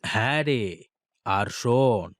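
A man voicing a solemn, commanding old holy hermit in a fairy-tale cartoon, speaking in a slow, instructing way. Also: mid-range voice, no room sound, clean studio sound, close to an everyday voice.

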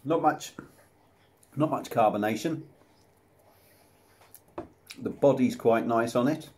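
A man's voice: medium pitch, slow, a little mellow, a little hiss or hum. A man, casually reviewing a beer to camera at home, chatty and self-deprecating. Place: small room at home, indoors. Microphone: camera close up at desk level.

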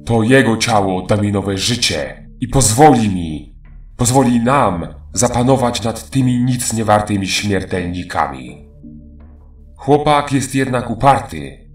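Audio horror villain: man as a scornful monster, slow and swooping, echoing over music. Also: on the low side, expressive, far from the mic. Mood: sinister, scornful. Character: menacing male monster scheming to rule over mortals.

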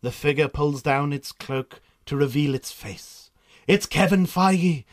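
A man's voice: on the high side, a little slow, lightly acted. A man narrating a scripted radio drama in a theatrical storytelling manner.

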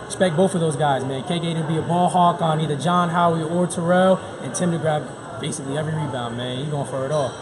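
A man's voice: fairly high pitch, fast. A young man, a basketball player, giving a casual, slangy postgame interview. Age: young adult. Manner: slangy, informal. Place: large indoor basketball gym. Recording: gym with clear crowd noise behind.

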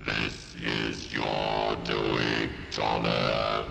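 A man playing a merciless alien machine ruler in a science-fiction audio drama, slow and commanding, through a robotic filter. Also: very deep voice, completely flat delivery, creaky, exaggerated voice. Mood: cold, commanding. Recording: echoing, music under the voice.

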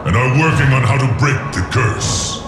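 An actor playing a huge, vengeful male dragon king who speaks in booming, archaic proclamations. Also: moderate pace, lightly acted. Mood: stern. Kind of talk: video game cutscene acting. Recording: roomy with loud game music.

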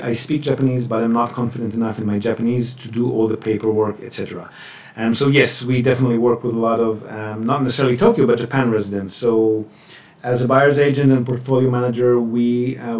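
A man presenting a webinar and answering questions in a measured, explanatory way with hesitant pauses. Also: medium pitch, a little mellow. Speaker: webinar presenter, property buyer's agent. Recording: roomy echo, distant microphone.

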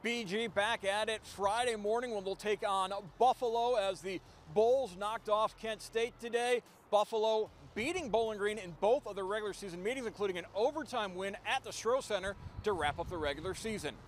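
High-voiced male sports reporter. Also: slight background noise. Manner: brisk, even newscast delivery.

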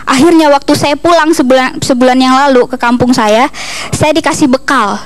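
A woman's voice: high voice, normal speed. A young woman from East Kalimantan, Indonesia, performing a stand-up comedy routine into a handheld microphone on a stage. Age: young adult. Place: TV comedy studio stage with audience. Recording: audience ambience noise behind stage mic.

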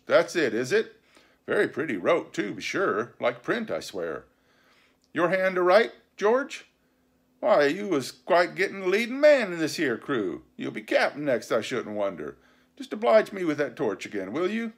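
A man reading a storybook, voicing a sly, scornful pirate captain who speechifies in rough nautical slang with sarcasm and bluster. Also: fairly high pitch, huge pitch swings, unhurried, voice pushed up, heavily acted. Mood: sardonic, mocking. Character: sly, scornful, commanding male pirate captain and sea cook.

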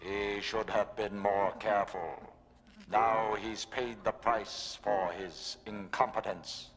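An actor playing a cold, vengeful cartoon villain who speaks in a deliberate, emotionless, formal way. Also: male voice, fairly low pitch, steady tone, a crowd in the background. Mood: cold menace. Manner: deliberate, icy, formal delivery. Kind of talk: animated series villain acting. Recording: long reverb, loud background noise.

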